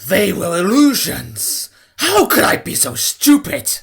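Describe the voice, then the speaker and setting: Guy doing a slow gravelly power hungry mutant villain, swings up and down, loud music over it. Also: high-pitched, wildly swooping pitch, exaggerated voice. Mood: angry. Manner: menacing, theatrical, slow and volatile. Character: defiant, power-hungry male villain mutant named Zaktan. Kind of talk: animated fan dub acting.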